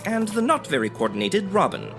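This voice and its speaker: An actor playing a florid, melodramatic announcer narrating the action of a 1940s style radio serial. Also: male voice, sits high, very animated, a little fast, creaky, loud music behind the voice, full character voice. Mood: excited, dramatic.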